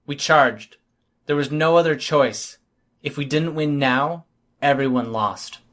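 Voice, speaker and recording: A man's voice: a little high, takes it slowly, fairly bright tone. Man narrating an action-heavy audiobook. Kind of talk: scripted first-person fiction narration.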